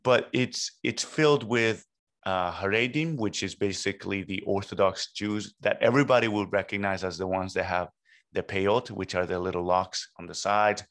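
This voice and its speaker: Low-voiced man telling his story. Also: normal speed, fairly bright tone. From Puerto Rico and New York. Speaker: podcast guest. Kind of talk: conversational personal storytelling. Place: small home studio room. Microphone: close podcast microphone on boom arm.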